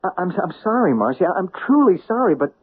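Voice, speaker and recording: A man's voice: sits high, sing-song, quick pace, a little hiss or hum. An actor playing a flustered, stammering man under questioning in a 1970s radio drama. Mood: confessing. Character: nervous, guilty-feeling committee member. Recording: tinny old radio broadcast.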